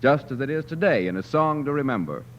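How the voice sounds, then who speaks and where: A man's voice: medium pitch, expressive, fairly quick, faint music behind. An American man working as a radio announcer, reading a scripted 1940s commercial with polished, emphatic salesmanship. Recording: dull, slightly noisy 1940s radio recording.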